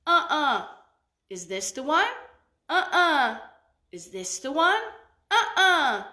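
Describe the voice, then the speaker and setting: A woman's voice: sits high, sing-song, slow pace, pushed and bright, roomy sound, exaggerated voice. Woman doing a cautious male ostrich. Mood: careful.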